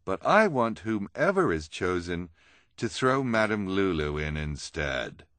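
A man's voice: low voice, big pitch swings, unhurried, a bit bright, no echo, exaggerated voice. A man voicing a haughty, scheming villainous woman who coaxes with emphatic, condescending persuasion in an audiobook. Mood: commanding.

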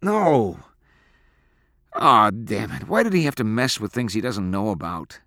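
A man's voice: on the low side, lively intonation, a little slow, bright, forceful tone, dry recording, exaggerated voice. A man voicing a bitter, stubborn teenage boy in an audiobook, petulant and aggrieved. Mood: frustrated. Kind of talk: audiobook character acting.

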